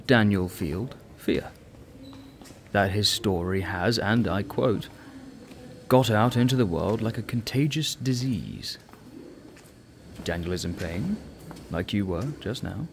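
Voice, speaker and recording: A man's voice: fairly low pitch, slight background noise. An actor playing an enigmatic, all-knowing man in a radio play, teasing and mocking in tone. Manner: sly, teasing, knowing, with soft laughs. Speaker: mysterious visitor.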